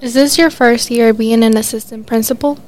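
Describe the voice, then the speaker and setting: A high school senior girl hosting a school podcast, reading out plain scripted questions. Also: fairly even intonation, moderate pace. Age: teens. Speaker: student podcast host.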